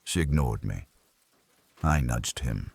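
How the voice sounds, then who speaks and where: A man with a husky voice reading a scripted first-person detective story. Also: a bass voice, expressive, slow pace, no echo. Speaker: audiobook narrator.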